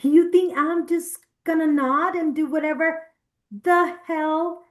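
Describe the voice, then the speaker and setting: Actress as angry female patient, extremely high pitch, erratic, hostile, swearing, Spanish-English mixing, dramatic table read. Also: takes it slowly. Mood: furious, agitated. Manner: confrontational, mixes Spanish and English. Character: erratic, hostile, foul-mouthed female patient, distrustful and furious.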